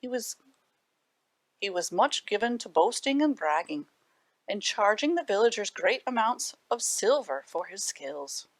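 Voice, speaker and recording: A woman reading aloud to children as a library storyteller, animated and dramatic with deliberate phrasing. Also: mid-range voice, dry recording.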